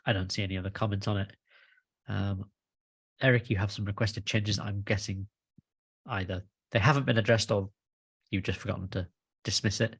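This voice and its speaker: Husky, creaky man chairing a meeting. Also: on the low side, steady tone, moderate pace, long pauses, a bit bright, dry recording. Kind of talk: casual meeting facilitation. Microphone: video call.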